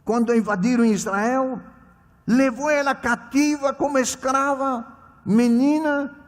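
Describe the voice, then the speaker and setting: A man preaching a sermon in Portuguese as a non-native speaker, emphatic, swinging between loud exclamations and quiet asides, often restarting words. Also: extremely high pitch, steady tone. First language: not Portuguese. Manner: halting, repeats and corrects words mid-phrase. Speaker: preacher at a children's ministry conference. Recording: echoey hall reverb.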